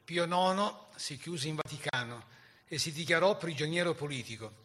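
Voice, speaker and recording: A man's voice: a little high, normal speed, a bit bright. A man delivering dramatic scripted historical narration as a stage narrator.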